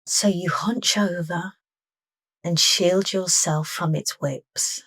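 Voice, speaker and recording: A woman leading a guided meditation with soft, unhurried, deliberate phrasing. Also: lowish voice, dry recording, poor audio.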